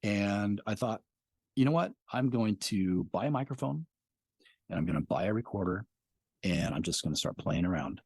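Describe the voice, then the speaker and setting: A man's voice: on the low side, expressive, moderate pace, vocal fry, a little mellow, slightly rough, no echo, studio quality. A man in his fifties, a podcast creator talking as a guest in a casual interview, rambling with many fillers.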